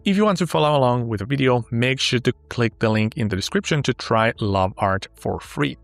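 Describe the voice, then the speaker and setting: A man whose first language is Finnish, upbeat and walking step by step through a sponsored software tutorial. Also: medium pitch, animated, a little fast, creaky, dry recording, faint music behind. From Finland. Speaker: tech tutorial host.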